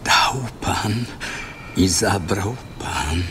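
A man playing a grieving, devout, resigned father, hushed, in a biblical radio drama. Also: low voice, extremely slow. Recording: noisy ambience behind.

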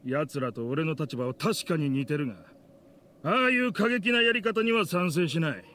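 An actor playing a bitter, vengeful middle-aged man in an anime game, speaking with rough, contemptuous, theatrical accusation. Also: a little high, moderate pace, fairly bright tone, slight background noise, close to an everyday voice. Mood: bitter, menacing. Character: bitter, vengeful man in a grudge-driven confrontation.

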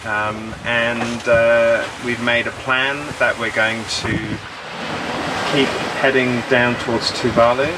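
Casting note: relaxed, candid man in his fifties, mid pitch, talking to an action camera with heavy wind behind. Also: slow pace. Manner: relaxed, candid, laid-back. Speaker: sailing vlogger. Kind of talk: casual chat on camera. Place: outdoors on a sailboat cockpit. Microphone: camera at arm's length. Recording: loud wind noise. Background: loud wind.

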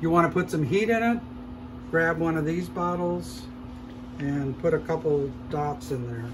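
A man hosting a cooking show, calmly explaining each step as he cooks in a shop demo kitchen. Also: a little high, unhurried, background noise. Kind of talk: casual cooking demonstration.

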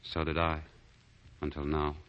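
An actor playing a romantic gentleman lover hiding sorrow, earnest and measured, in 1940s radio drama style. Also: rumbling low, completely flat delivery, clear, resonant tone. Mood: wistful, evasive. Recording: muffled 1940 radio broadcast, faint music.

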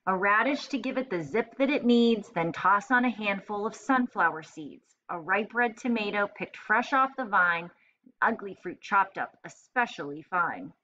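Woman reader as a small, eager storybook girl, bossy but polite, bright forceful delivery, slightly dull sound. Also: medium pitch, expressive, normal speed. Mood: demanding, precise. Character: tiny, demanding, chirpy little girl ordering food. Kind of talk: children's storybook read-aloud.

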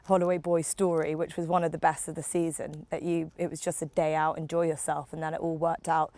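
A woman with a slightly low voice speaks as a TV interviewer. She puts her questions conversationally, as in a sit-down chat recorded out in a garden. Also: level tone, a little fast, dark tone, dry recording. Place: outdoors in a garden.

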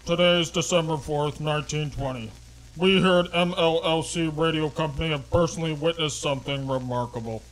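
A man's voice: fairly high pitch, brisk, a bit bright, natural voice, low-quality recording. A man playing a formal, declamatory newsreader in a 1920s radio drama performed on stage. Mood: solemn, official. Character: grave male 1920 radio newsreader. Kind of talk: 1920s radio newscast acting. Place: dark small theater stage.

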